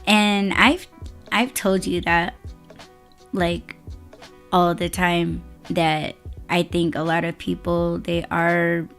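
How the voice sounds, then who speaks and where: Hoarse, raspy woman hosting a laid-back podcast, unhurried delivery. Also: lowish voice, little pitch movement, fairly bright tone, faint music behind. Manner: laid-back, laughs often. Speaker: podcast host. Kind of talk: casual podcast chat.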